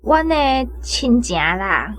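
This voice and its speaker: A woman's voice: a little high, very animated, slow, fairly bright tone, no room sound, exaggerated voice. An actress playing a shy, earnest seventeen-year-old shop girl in a radio drama. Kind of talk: Taiwanese-language radio drama acting.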